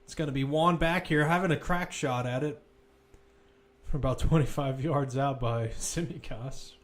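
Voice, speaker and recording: A man's voice: mid-range voice, normal speed. Man calling live football play-by-play. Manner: informal, conversational analysis between calls. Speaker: football commentator.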